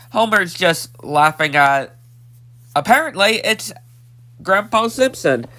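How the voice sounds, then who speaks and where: A nineteen-year-old man with a stuffed-up nose, casually recapping a cartoon episode and repeating himself with lots of fillers. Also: on the high side. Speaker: episode reviewer.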